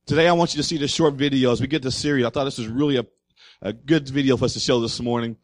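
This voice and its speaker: A man preaching a sermon as pastor, slightly hoarse, speaking loudly and emphatically and calling out to the congregation. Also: mid-range voice, steady tone, rapid-fire, no room sound. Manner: loud, emphatic preaching with audience call-outs. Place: church.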